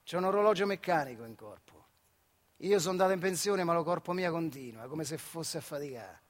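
Guy acting as tired bitter old retired factory worker, marche accent, kinda high voice, wry stage monologue. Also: expressive, fairly quick, close to an everyday voice. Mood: weary, wry. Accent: central Italian, Marche. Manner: colloquial dialect, wry and rueful. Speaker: solo stage actor. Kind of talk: dramatic theatrical monologue.

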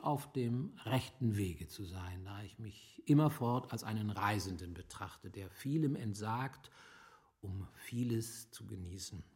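An actor playing a worldly, resigned older gentleman guest in a radio play, speaking in a measured, reflective way with a creaky voice. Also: lowish voice. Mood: philosophical, contented. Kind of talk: literary radio play dialogue.

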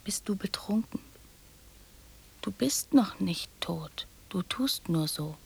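A woman's voice: medium pitch, animated, slow pace, gravelly, darkish tone, breathy edge, full character voice. An actress playing a young girl in a radio drama, prattling and teasing in childlike fragments that often drop to whispers. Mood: hushed, secretive.

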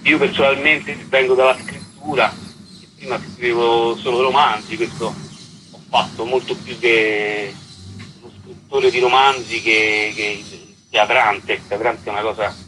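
A man's voice: medium pitch, little pitch movement, unhurried, bright, forceful tone, a little hiss or hum, poor audio. A man being interviewed casually as a writer and stage actor, hesitant and often repeating words as he thinks.

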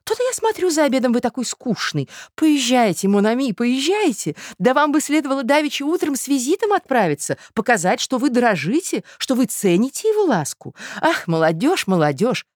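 A scheming society mother from a storybook, sugary and theatrical. Her voice sits high and swoops extravagantly as she coaxes and fusses. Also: very animated, fairly quick, few pauses, no echo, studio quality. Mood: excited urging. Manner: theatrical, effusive, peppered with French endearments.

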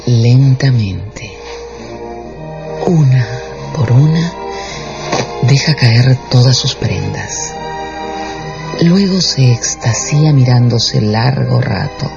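A man's voice: mid-range voice, expressive, slow pace, gravelly, long pauses. A man delivering scripted, dramatic narration for a radio drama. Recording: dull radio production with music underneath.

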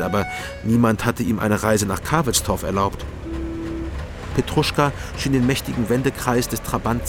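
An actor playing a male former detective who narrates his own story in a measured, dry way, in a radio drama. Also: lowish voice, lightly acted. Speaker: first-person narrator and detective. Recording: radio play over loud music score.

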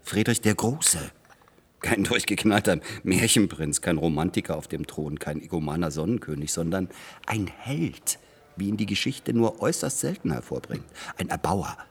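An actor playing a pompous, irritable lawyer in a radio play, speaking emphatically and rhetorically. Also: male voice, low voice, lively intonation, moderate pace, husky, full character voice. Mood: grandly enthusiastic. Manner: emphatic, rhetorical, easily exasperated. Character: pompous, irritable male lawyer praising national tradition. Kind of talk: naturalistic radio play dialogue.

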